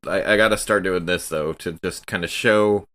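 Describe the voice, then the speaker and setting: Low-voiced man playing a tabletop game. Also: fairly even intonation, moderate pace, a bit bright. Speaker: player in a tabletop roleplaying game. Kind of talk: casual improvised tabletop game chat.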